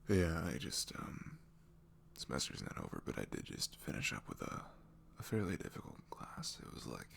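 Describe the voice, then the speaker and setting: A young man playing an awkward, apologetic college-age ex-boyfriend in an intimate ASMR audio roleplay, speaking softly and haltingly, often close to a whisper. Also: extremely low pitch, wildly swooping pitch, gravelly, exaggerated voice. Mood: awkward, polite. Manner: hesitant, halting, trailing off.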